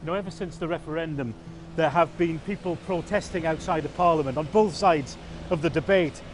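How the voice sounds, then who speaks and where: A man's voice: on the high side, fairly quick. Man talking outdoors by traffic.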